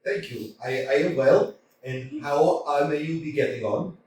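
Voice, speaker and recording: A man's voice: on the high side, a little slow, a little mellow, natural voice. A man playing a stammering nervous suitor. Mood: flustered. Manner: stammering, flustered, nervous laughs. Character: nervous anxious 35-year-old suitor, hypochondriac. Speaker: actor in a staged reading. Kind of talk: staged play reading. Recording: roomy hall from a distance, faint hiss.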